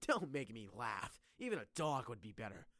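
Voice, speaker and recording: Anime dub lead: brash, self-mocking young man voiced by a male actor, lively and expressive, very animated pitch. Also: moderate pace, dry recording, clean studio sound. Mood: flustered, indignant. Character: excitable, self-deprecating young man, brash but scheming. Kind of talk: anime-style fan dub acting.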